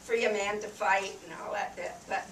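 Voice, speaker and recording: A woman in her eighties from New Hampshire, a veteran telling her wartime memories to an audience, slightly croaky from a cold, wry and unhurried. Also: mid-range voice, steady tone, a little hiss or hum. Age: 80s. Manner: slow, wry reminiscing with soft laughs and fillers. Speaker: veteran guest speaker. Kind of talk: personal war memories told to an audience. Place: room with an audience. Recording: echoey room from far off with clattering dishes.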